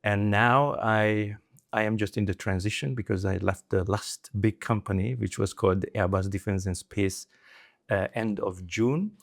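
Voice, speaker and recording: A man's voice: lowish voice, steady tone, normal speed, gravelly. A middle-aged man with a Hungarian accent, speaking conversationally as an interview guest. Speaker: interview guest, former telecom executive.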